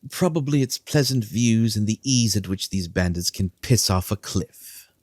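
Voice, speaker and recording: A man's voice: on the low side, animated, moderate pace, darkish tone, dry recording, clean studio sound. A man playing a haughty, dismissive king in a fantasy audio drama, imperious and contemptuous. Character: haughty, dismissive king giving orders to subjects.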